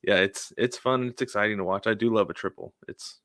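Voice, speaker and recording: A man with a somewhat low voice chats casually as a sports podcast co-host. The sound is close and dry, and his delivery is informal and anecdotal, sprinkled with "like" and "you know". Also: fairly quick, a bit bright, no echo.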